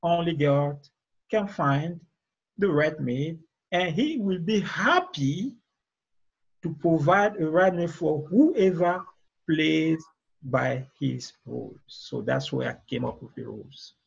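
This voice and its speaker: A man with a Cameroonian accent speaks as a guest expert in an interview. His voice is high for a man and husky, and the video call audio is dull and lo-fi. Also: lively intonation, slow. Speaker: guest author and relationship expert. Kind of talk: interview answers, explaining.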